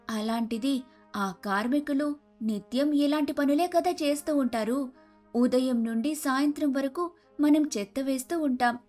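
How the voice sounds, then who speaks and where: A woman playing an outspoken, principled young daughter-in-law in a Telugu animated moral story, speaking in an earnest, preachy way. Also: high-pitched, lightly acted. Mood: reproachful. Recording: music under the voice.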